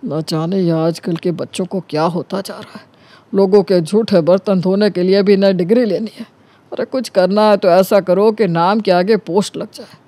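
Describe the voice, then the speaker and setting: A male actor plays a cocky young smooth-talker from an animated story. His voice sits high, and he is glib and boastful, buttering up his elders. The sound is dry and close. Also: normal speed, no room sound. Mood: earnest, smug. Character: cocky, flattering young man sucking up to elders. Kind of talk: animated Hindi story character acting.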